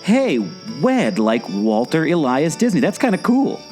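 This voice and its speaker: A man's voice: on the high side, wildly swooping pitch, unhurried, a little mellow, soft background music. A man playing a naive, curious sidekick with a taste for corny jokes in a scripted audio drama. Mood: puzzled. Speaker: curious companion.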